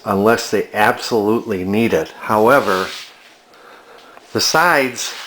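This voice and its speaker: A man giving a casual, unscripted walk-through as a collector, talking in a halting, musing way. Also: on the low side, fairly bright tone, slight background noise. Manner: hesitant, musing, halting delivery. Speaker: vintage electronics collector presenting a find.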